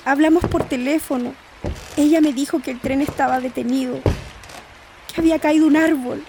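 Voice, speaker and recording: Over clearly noisy audio comes a woman acting as a fretful Chilean wife and mother in a radio play. Her voice is very high, and she scolds and worries with stubborn hope. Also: steady tone, moderate pace. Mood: concerned, insistent.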